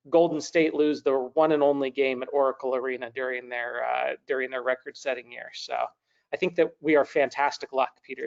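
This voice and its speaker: Upbeat male webinar host, 30s, a bit high-pitched, rambling, muffled call audio. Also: creaky. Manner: upbeat, rambling with many restarts. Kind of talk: webinar hosting. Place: home room with brick wall, indoors. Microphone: video call, laptop mic. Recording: dull lo-fi video call audio.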